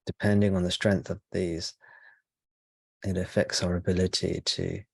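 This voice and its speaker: A man speaking as a spiritual teacher leading a guided meditation, in slow, measured short phrases with pauses between them. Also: deep voice, little pitch movement, creaky, slightly rough, no room sound.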